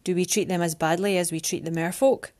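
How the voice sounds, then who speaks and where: A woman voicing a proud, cold winged man who is about to rule, speaking low and clipped. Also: fairly even intonation, brisk, a little mellow, close to an everyday voice. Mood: stern.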